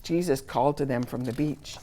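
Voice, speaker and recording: A woman's voice: a bass voice, brisk, dark tone, low-quality recording. A woman hosting a children's story time, reading aloud expressively and putting questions to her young listeners. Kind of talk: animated picture-book read-aloud for children.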